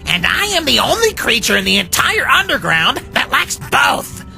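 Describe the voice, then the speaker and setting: An actor voicing a scheming, bitter little talking flower in a dramatic comic dub, with a taunting, drawn-out delivery. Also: high-pitched, sing-song, vocal fry, bright, forceful tone, in a large hall, faint music behind, heavily acted. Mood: irritated, incredulous.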